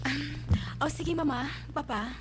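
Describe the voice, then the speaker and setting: A woman's voice: high voice, unhurried, noisy background. A woman playing a young, lovesick student mother in a melodramatic radio drama, plaintive and emotive, with a Cebuano Filipino accent. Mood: subdued. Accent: Filipino, Cebuano-speaking. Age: young adult. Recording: background music in live radio capture.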